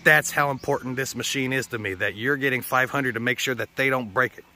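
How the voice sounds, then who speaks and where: A man's voice: medium pitch, brisk, bright, forceful tone, a little hiss or hum. A man talking casually and ramblingly to his viewers as a vlogger, blunt, slangy and full of swearing. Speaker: vlogger hosting a channel.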